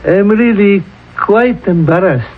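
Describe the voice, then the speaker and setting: High-voiced, theatrical prince. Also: male voice, slow. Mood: courteous disbelief. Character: amused, disbelieving foreign prince, courteous and theatrical. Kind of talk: 1970s radio drama acting. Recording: thin, phone-like old radio recording.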